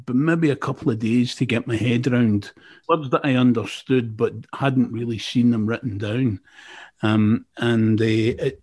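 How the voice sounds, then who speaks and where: A man's voice: mid-range voice, darkish tone, husky. A man with a Glasgow Scottish accent, a presenter chatting casually with an author, with plenty of ums and restarts. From Scotland, Glasgow. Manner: chatty, many fillers and restarts. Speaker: interviewer, radio presenter. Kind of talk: casual interview chat.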